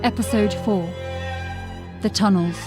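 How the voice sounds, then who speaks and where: A woman's voice: mid-range voice, measured pace, music in the background. A woman reading scripted opening credits as the announcer of an audio drama. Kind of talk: scripted narration.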